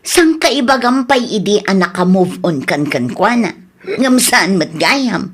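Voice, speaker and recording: A woman's voice: medium pitch, animated, a little slow, breathy edge. A woman playing a frank, teasing young confidante in a comedy radio drama. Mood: frank, advising.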